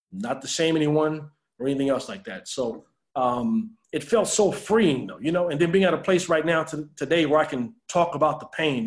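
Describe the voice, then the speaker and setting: Male American author guest, slightly high voice, earnest and reflective personal storytelling. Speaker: author being interviewed.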